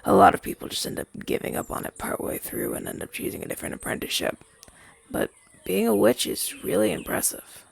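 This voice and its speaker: A man's voice: high voice, wildly swooping pitch, brisk, vocal fry, husky, no echo, exaggerated voice. A young man playing a chatty, down-on-his-luck crow demon, once a witch's familiar, in a hesitant, stammering roleplay audio drama. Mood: warm, reminiscing.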